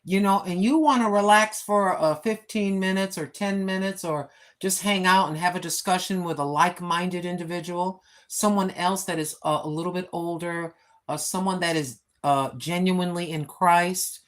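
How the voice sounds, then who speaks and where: A woman's voice: fairly low pitch, fairly even intonation, moderate pace. Chatty grandmother rambling on a live stream. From United States. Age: 60s. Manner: rambling, chatty, addresses viewers directly with many fillers. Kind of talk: casual live-stream chat. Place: home, seated. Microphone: phone.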